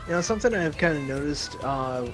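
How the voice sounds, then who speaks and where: A young man co-hosting a casual gaming stream, laid-back and hesitant with lots of fillers, his voice sounding deep through a home desktop mic. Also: animated, brisk. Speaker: stream co-host. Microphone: desktop microphone. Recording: background music.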